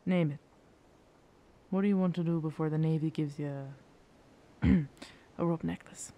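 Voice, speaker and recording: An actor playing a gruff, guarded yet tender pirate captain in an intimate ASMR roleplay, speaking haltingly. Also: mid-range voice, steady tone, mellow, muffled recording, close to an everyday voice. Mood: reluctant, resigned. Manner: slow, hesitant, halting, rough pirate phrasing. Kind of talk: ASMR roleplay audio drama, intimate second-person scene.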